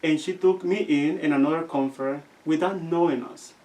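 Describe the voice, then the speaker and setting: A young man with a Guatemalan accent, telling stories as an interview guest and often pausing to search for his words. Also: a little high, mellow. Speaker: seminarian guest. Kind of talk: casual interview storytelling. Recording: distant from the mic.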